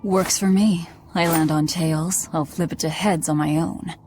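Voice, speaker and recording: Game role: stern young demon heroine, lower register, blunt and dismissive. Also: female voice, fairly even intonation, a little slow, a little mellow, faint music behind. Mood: curt, determined. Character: stern, curt, hardened young demon woman. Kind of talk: English video game voice acting.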